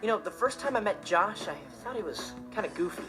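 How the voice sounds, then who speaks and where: A man's voice: sits high, lively intonation, brisk, music in the background, low-quality recording. A young man playing a laid-back, mischievous teenage stepbrother in a sitcom monologue, casual, dry and smug. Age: teenager to young adult. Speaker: sitcom lead.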